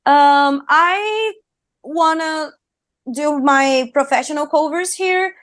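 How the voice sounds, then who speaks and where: A woman's voice: high voice, slow, a bit bright, dry recording, studio quality. A young woman from Brazil with a Brazilian Portuguese accent, a singer and streamer chatting as a guest in an interview, upbeat and full of fillers and restarts. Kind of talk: casual interview chat.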